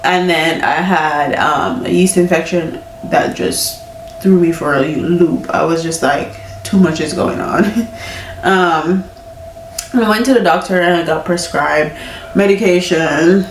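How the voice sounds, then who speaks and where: Young woman, stuffy-nosed, frank home vlogger; lower voice, roomy sound from a distant mic. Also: a little slow, a little hiss or hum. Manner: candid, chatty, laughing often. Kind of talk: casual pregnancy chat. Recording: echoey room, mic at a distance.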